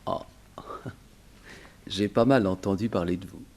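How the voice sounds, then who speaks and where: An actor playing a cagey, indignant former burglar with a grudge, slangy and protesting, in 1960s French radio drama style. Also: male voice, low voice, a little slow, a little mellow. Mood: sardonic, aggrieved.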